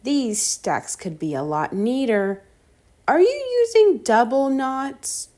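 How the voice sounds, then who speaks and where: A woman's voice: fairly high pitch, sing-song, slow pace, mellow, pitched above the performer's own voice. A woman voicing a bossy, cheeky little girl with a pert, emphatic manner in a children's storybook.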